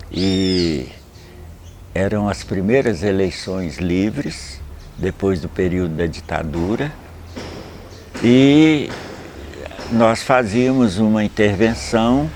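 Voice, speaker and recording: An older man, a capoeira master explaining things at a measured pace and often adding a questioning tag at the end of a phrase. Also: on the low side, darkish tone, a little hiss or hum. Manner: measured, explanatory, with frequent "né?" tags. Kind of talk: reflective documentary interview.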